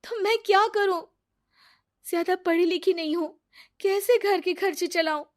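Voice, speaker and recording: A woman's voice: very high-pitched, measured pace, pitched above the performer's own voice. A woman voicing a struggling, earnest young mother who speaks plaintively in a cartoon moral story. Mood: pleading, distressed.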